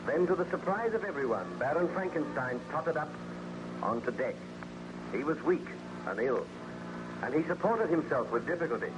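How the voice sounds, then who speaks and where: A man's voice: on the high side, lively intonation, normal speed, pauses often, background noise. A man narrating a radio serial as announcer, in a formal, dramatic old-time storytelling style. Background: music.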